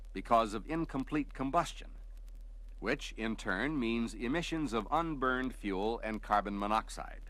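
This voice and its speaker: A man narrates scripted instructional material in a measured, explanatory way, like a training film narrator. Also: lowish voice, fairly bright tone. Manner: measured, explanatory, clearly structured delivery.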